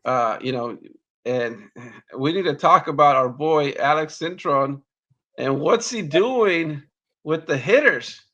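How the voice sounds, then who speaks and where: A man co-hosting a casual baseball talk show, halting and repeating words as he talks. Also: fairly high pitch, lively intonation, a bit bright, muffled recording. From Mexican roots. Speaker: baseball podcast co-host. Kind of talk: casual sports chat.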